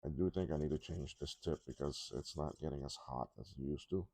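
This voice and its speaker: A man talking casually through a soldering job at his workbench, halting and rambling, his voice a little congested from a cough. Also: a bass voice, darkish tone, no room sound. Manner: rambling, halting, with many restarts and ums. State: congested, slightly rough from a cough. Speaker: electronics repair hobbyist.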